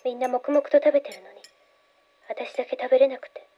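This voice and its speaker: High female voice, acted, troubled young patient, dreamy, hushed, confiding, radio drama, dull recording, faint music behind. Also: little pitch movement, brisk, natural voice. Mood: dreamy, uneasy. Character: troubled young woman patient, dreamy, haunted, recounting visions under hypnosis. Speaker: voice actor.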